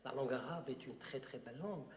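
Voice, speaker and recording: A man's voice: medium pitch, fast. A male author from Algeria, whose first language is Algerian Arabic, giving an unscripted talk to an audience, digressive and emphatic with many fillers. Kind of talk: unscripted lecture answering a question. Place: hall with audience. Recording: roomy hall with long reverb.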